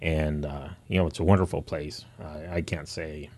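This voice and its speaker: Guest: man from Honduras, very low voice, warm, halting speech. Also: fairly even intonation. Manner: warm, hesitant, with many fillers. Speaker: mission field director. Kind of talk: interview guest.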